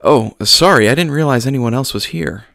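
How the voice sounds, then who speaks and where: A man's voice: medium pitch, moderate pace, gravelly, a bit bright, dry recording, studio quality, voice pushed up. A man voicing an earnest young pastor, sermonizing and talking with his congregation. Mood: concerned.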